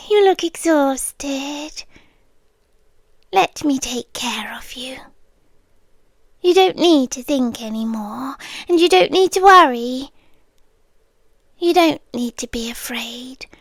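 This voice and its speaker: A woman voicing a sweetly menacing hive queen in an ASMR roleplay, talking softly and drifting in and out of whispers. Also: high-pitched, no echo. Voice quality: soft, slow, drifting between whisper and voice. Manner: coaxing, intimate, quietly threatening.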